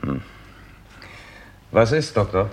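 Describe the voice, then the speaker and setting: Deep-voiced, terse police chief inspector. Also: male voice, very animated, slow, a little hiss or hum. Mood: terse, probing. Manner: terse, probing, authoritative. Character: brisk, sceptical Scotland Yard chief inspector. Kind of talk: 1960s German radio crime drama acting.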